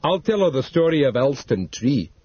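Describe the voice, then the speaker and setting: Man voicing a determined young husband, higher and intense; husky, close and dry. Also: sing-song, moderate pace, mellow, no echo. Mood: grim. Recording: dull dry studio read.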